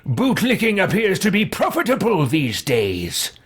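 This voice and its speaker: A man's voice: high voice, huge pitch swings, a little slow, fairly bright tone, husky, faint music behind, voice pushed up, exaggerated voice. A man roleplaying a proud, sardonic male hero, reading game dialogue in a theatrical, declarative way. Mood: haughty, challenging.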